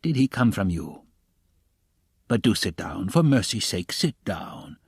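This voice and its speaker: A man's voice: lowish voice, very animated, measured pace, darkish tone, husky, dry recording, studio quality, heavily acted. A man voicing a wily middle-aged investigator in an audiobook, fussily solicitous and slyly mocking, with theatrical, coaxing exclamations. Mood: fretful, solicitous.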